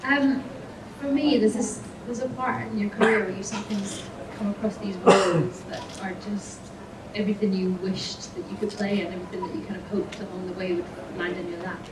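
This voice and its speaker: A woman's voice: mid-range voice, steady tone, a little fast. A woman, a stage actress, answering interview questions in a fond, reflective way. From Scotland. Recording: echoey, distant, noisy ambience.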